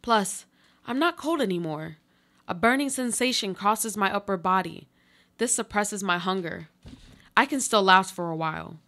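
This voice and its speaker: A woman acting in a live radio play as a trapped woman stubbornly clinging to life, speaking in solemn, deliberate monologue. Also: medium pitch, pushed and bright. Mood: stoic, hopeful.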